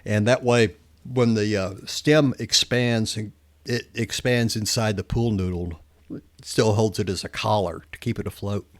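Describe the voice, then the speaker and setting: A man's voice: fairly low pitch, fairly even intonation, a little slow. A man co-hosting a podcast in casual chat, sharing practical hands-on tips.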